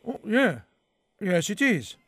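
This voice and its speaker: A man's voice: high-pitched, big pitch swings, takes it slowly, a bit bright, dry recording, pitched above the performer's own voice, full character voice. A man voicing a businesslike spacefarer who is a little defensive.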